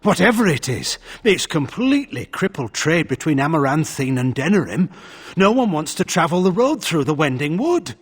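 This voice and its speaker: An actor playing an anxious merchants' guild official in a video game, husky, earnest, fretful and deferential. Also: male voice, on the high side, big pitch swings, normal speed. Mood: worried. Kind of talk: video game character acting.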